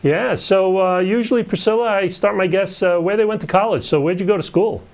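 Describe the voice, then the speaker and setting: A man's voice: high-pitched, a little fast. A man hosting an interview show, introducing his guest and asking questions.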